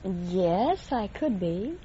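A woman's voice: mid-range voice, animated, very slow. A woman of twenty-four giving brief replies as a contestant on an old-time radio quiz. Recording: dull vintage radio, loud noise, big audience. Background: loud studio audience.

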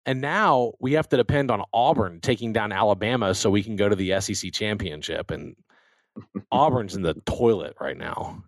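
A man's voice: on the low side, expressive, moderate pace, no room sound. An American man hosting a show with casual sports banter, talking emphatically and repeating his phrases for effect. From Louisiana and Texas, United States. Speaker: talk show host.

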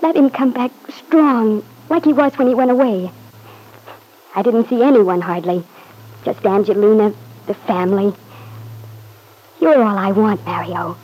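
An actress playing a devoted, anxious young wife in an old-time radio drama, pleading earnestly. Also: female voice, medium pitch, measured pace, long pauses, warm tone, poor audio. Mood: tender, troubled. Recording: husky-sounding vintage radio recording.

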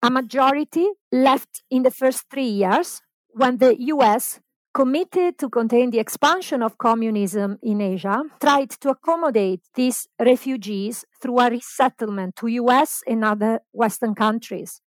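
A woman hosting a podcast, introducing her guest and reading historical background in a steady, informative way. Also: on the high side, measured pace, no echo, poor audio. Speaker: podcast host.

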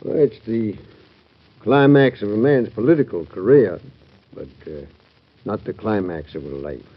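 Stubborn elderly president, husky, low-pitched, folksy, halting, swings from loud to hushed, vintage radio drama. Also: male voice. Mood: wistful. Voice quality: gravelly, husky. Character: frail old retiring president, gruff, fond, stubborn. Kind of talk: 1940s radio drama acting. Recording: dull old radio recording.

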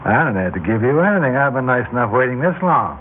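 An American actor playing a falsely chummy, menacing gambling boss in an old-time radio drama. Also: male voice, mid-range voice, very animated, fast, lightly acted. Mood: coolly threatening. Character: smooth, menacing male gambling boss and creditor. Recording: old radio broadcast, narrow band, hiss.

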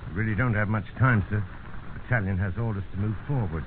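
Army lieutenant, played by a man, deferential, businesslike, low, rapid, narrow noisy vintage radio. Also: close to an everyday voice. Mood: dutiful. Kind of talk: 1950s radio acting.

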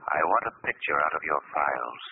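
An actor in a classic radio drama playing an unseen crime fighter, calm, commanding and mocking, with a sinister, deliberate delivery. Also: male voice, rumbling low, phone-quality sound. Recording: noisy, thin old radio recording.

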